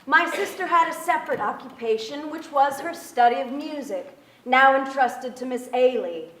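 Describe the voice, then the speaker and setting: A wistful narrator of childhood memories in a literary stage reading. Her voice is fairly high and comes slightly distant through a roomy theater. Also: fairly bright tone. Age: young adult. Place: large theater stage with audience. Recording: echoey theater stage, miked from afar.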